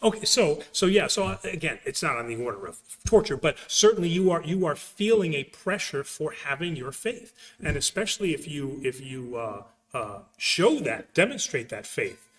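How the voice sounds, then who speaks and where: A man speaking as a priest in a conversational religious question-and-answer session, emphatic and halting, often repeating phrases as he explains. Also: a little high, very animated, a bit bright. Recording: echoey hall sound, distant mic.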